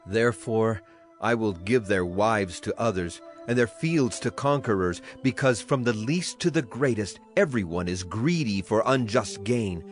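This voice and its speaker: A man's voice: lowish voice, a little slow, lightly acted. A man acting a solemn prophet who speaks for a grieving, wrathful God in a dramatized scripture reading, declamatory in delivery. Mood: stern, condemning. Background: music behind the voice.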